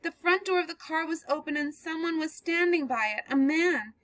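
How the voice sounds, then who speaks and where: A woman's voice: high voice, moderate pace, sharp tone, dry recording, pitched above the performer's own voice, rough recording. A woman voicing a terrified young woman who has fled a killer, breathless and pleading as she reads a play aloud. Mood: frightened.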